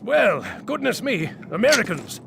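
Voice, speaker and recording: War game role: unflappable British captain, genteel, animated pitch, heavy noise behind. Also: male voice, a little slow, creaky, exaggerated voice. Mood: wry, composed. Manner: polite, understated, officer-like. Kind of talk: video game war drama dialogue. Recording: very noisy game battle audio.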